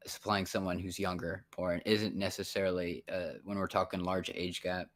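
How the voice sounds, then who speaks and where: A man's voice: low voice, little pitch movement, moderate pace, a bit bright. A man in his thirties in a casual voice chat, rambling and frank, swearing often. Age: 30s.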